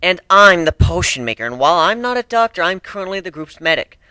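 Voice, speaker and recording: A firm, caring woman medic who brews potions, as a man acts her out. The voice is bright and a little raised, explaining insistently in a dry storybook reading. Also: high voice, big pitch swings, fast, clean tone, dry recording, voice pushed up, full character voice.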